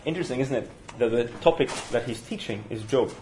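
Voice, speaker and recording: Male lecturer, Norwegian accent, mid-pitched, hesitant and full of restarts, faint hiss behind. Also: darkish tone. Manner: halting, many fillers and restarts. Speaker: teacher leading a study session. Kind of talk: university seminar lecture. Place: university room. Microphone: single room mic.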